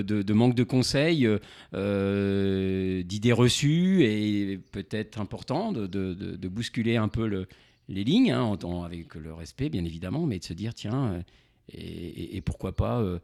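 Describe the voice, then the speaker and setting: A man in his fifties from Normandy, answering as an interview guest, often pausing and repeating words as he searches for phrasing. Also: fairly low pitch, vocal fry, darkish tone, no echo, studio quality. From Normandy, France. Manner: hesitant, halting, repeats words while searching. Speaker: interview guest, insurance broker. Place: indoors, radio studio.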